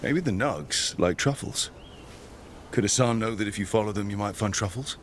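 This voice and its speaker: An actor playing a curious, encouraging adventurer companion in a video game, with an inquisitive, conversational way of talking. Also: male voice, fairly low pitch, moderate pace, a little hiss or hum, lightly acted. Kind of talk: video game dialogue acting.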